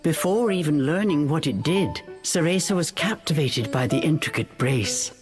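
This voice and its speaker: A woman narrating a fairy tale for a video game in an expressive, measured storytelling manner. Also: sits low. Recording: faint music behind the voice.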